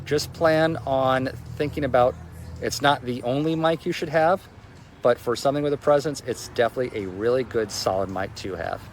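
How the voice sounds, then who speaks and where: A man's voice: mid-range voice, normal speed, a little hiss or hum. A man with a strong voice giving a casual, loosely phrased spoken review. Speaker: product reviewer.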